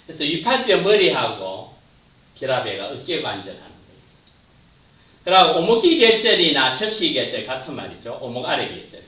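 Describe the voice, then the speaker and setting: Male lecturer, lively pitch, muffled distant room recording. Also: moderate pace, roomy sound. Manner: points at slides, trails off at phrase ends. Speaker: anatomy lecturer. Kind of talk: classroom lecture.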